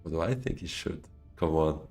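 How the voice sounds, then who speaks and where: A man's voice: very deep voice, lively intonation, normal speed, darkish tone, soft background music. A Swiss man, streaming a board game live and thinking his moves through aloud to himself and his chat. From Switzerland. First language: French.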